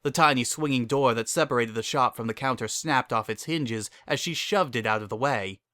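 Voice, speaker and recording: A man's voice: medium pitch, a little fast, sharp tone, dry recording. A man giving a scripted, dramatic narration of a story.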